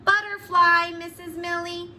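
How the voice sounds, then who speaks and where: Silly storybook schoolteacher read by a woman, high and bright, cheerful sing-song delivery. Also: little pitch movement, a little slow, a little hiss or hum, exaggerated voice. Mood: sweetly cheerful. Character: cheerful, silly female schoolteacher muddling her words.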